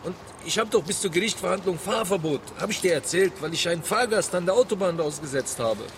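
A man's voice: sits high, lively intonation, quick pace, slight background noise. An actor playing a distressed, embittered taxi driver and father in a German radio drama, plaintive and agitated. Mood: dejected.

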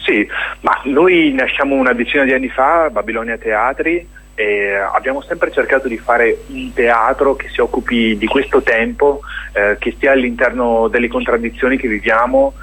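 A man's voice: mid-range voice, moderate pace, fairly bright tone, breathy edge, rough recording. A man being interviewed on radio as a theatre director, reflective and hesitant as he searches for words while explaining his work.

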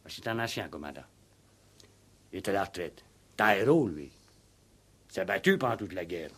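An actor playing a simple-minded farmhand, a blunt, grumbling village gossip who talks haltingly in a radio play. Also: male voice, low voice, expressive, fairly bright tone, husky, full character voice. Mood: opinionated. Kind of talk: 1960s French radio play acting.

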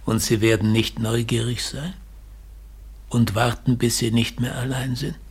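An actor in a German radio play as a mysterious messenger, speaking slowly, softly and insinuatingly in a husky, breathy voice. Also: male voice, medium pitch, flat delivery, slight background noise, natural voice. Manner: slow, soft, insinuating. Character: mysterious, quietly menacing man delivering a parcel.

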